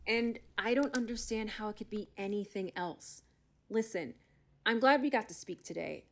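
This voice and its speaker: Woman acting creaky-voiced, matter-of-fact expert. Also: mid-range voice, moderate pace, a bit bright, lightly acted. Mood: dismissive, polite. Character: brisk, skeptical but helpful female animal expert doctor. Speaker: outside expert being interviewed. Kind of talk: audio drama dialogue.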